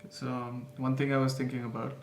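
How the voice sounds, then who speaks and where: A man hosting a podcast, talking in a reflective, measured way with pauses. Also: mid-range voice, monotone, gravelly. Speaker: podcast host. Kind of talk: casual podcast conversation. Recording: roomy echo, faint noise.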